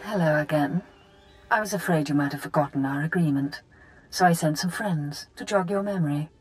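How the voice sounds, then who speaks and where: A woman's voice: low voice, a little fast, darkish tone, soft background music, close to an everyday voice, low-quality recording. An actress playing a vain, haughty, seductive female Sith villain in a video game, with a silky manner. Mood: disdainful.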